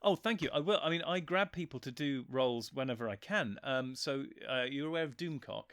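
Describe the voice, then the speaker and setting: Man, casual chat, enthusiastic, digressive, medium pitch, dry recording. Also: lively intonation, fairly quick, fairly bright tone, no echo. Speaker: guest, writer and voice actor.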